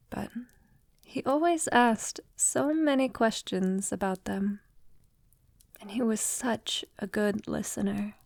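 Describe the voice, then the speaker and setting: Woman acting as an ancient lady vampire lover, soft n slow, tender n wistful, close dry roleplay audio. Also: medium pitch, no room sound. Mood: fond, wistful. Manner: soft, unhurried, breathy lapses into whispering. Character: centuries-old female vampire lover, tender, wistful, confiding. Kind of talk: intimate ASMR audio roleplay.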